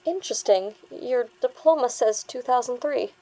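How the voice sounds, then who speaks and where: A woman's voice: fairly high pitch, expressive, moderate pace, mellow, poor audio. A woman playing an anxious, wary young therapy patient in an audio drama, earnest and hesitant in manner. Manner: hesitant, earnest, stammering under pressure.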